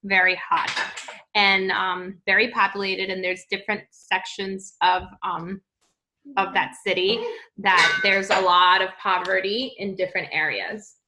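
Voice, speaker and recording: A woman from Peru, a podcast guest in casual interview chat, talking haltingly with many ums and restarts. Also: medium pitch, fairly even intonation, background noise.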